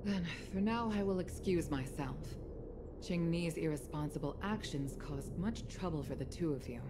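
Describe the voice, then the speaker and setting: Low-voiced, dignified, stern mother. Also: lively intonation, background noise. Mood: formal, gracious. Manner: formal, measured, authoritative. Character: stern, dignified mother and high-ranking fantasy official. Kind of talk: polished video game voice acting.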